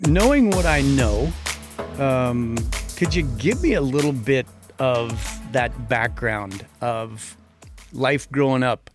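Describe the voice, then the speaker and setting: A man's voice: mid-range voice, lively intonation, takes it slowly, music in the background. A man speaking as a podcast host, presenting and interviewing. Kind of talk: scripted intro and interview.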